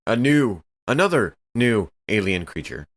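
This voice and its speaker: A man's voice: on the low side, big pitch swings, a little slow. Man chatting as a tabletop gamer. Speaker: tabletop game player. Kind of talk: casual game-table chat.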